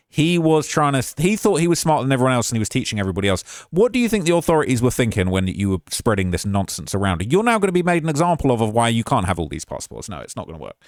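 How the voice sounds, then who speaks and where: A man's voice: mid-range voice, dry recording, studio quality. A man hosting a commentary show, talking casually and mockingly as he reacts to clips. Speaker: commentary channel host.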